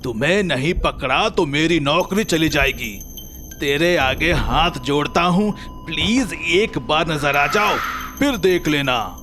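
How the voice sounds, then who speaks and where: A man's voice: fairly high pitch, animated, slow pace, over music. A man acting a blustering comic figure in a cartoon story, with theatrical, exaggerated delivery. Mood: blustering, exasperated. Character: blustering male police officer and boastful male thief in comic story.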